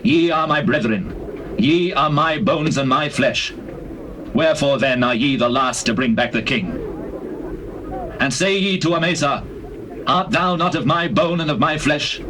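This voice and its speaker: An actor playing a grave, commanding king in dramatized scripture, with deliberate declamatory speech. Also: fairly high pitch, close to an everyday voice. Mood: solemn appeal. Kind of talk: dramatized scripture, classical stage acting. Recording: noisy old cassette tape.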